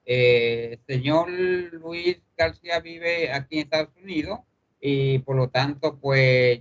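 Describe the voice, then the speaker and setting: A man's voice: on the high side, a bit bright. A man hosting a formal interview, addressing the guest politely and formally. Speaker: interviewer and host. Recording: dull lo-fi sound, faint music.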